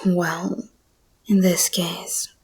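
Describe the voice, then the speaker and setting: Breathy, slow-whispering predatory monster girl, played by a woman. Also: fairly low pitch, flat delivery, a little mellow, heavily acted. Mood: teasing menace. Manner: slow, teasing, predatory purr. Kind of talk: ASMR roleplay, intimate monologue.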